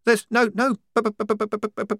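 Timid young woman soldier, heavy stutter, very high voice, loud, voiced by a man. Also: flat delivery, fast talker, dry recording, studio quality, soft background music. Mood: distressed.

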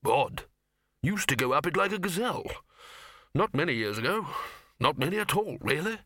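A man voicing an elderly wizard lecturer in an audiobook, eager, earnest and smugly pleased with his own cleverness, speaking slowly. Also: fairly high pitch, sing-song, pushed and bright, no echo, clean studio sound, voice pushed up, full character voice. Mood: wistful, puzzled. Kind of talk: audiobook character acting.

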